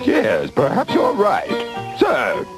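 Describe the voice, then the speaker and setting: King: high, very animated, easily impressed, light music behind. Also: slow pace, heavily acted. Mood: flustered. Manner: flustered, stately. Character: fussy old male king, easily impressed. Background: background music.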